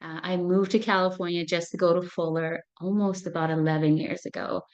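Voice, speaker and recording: A woman's voice: lowish voice, flat delivery, fairly quick, darkish tone, highs cut off. A woman from Ethiopia, a clinical psychologist, giving an earnest talk to an audience, with frequent fillers and restarts.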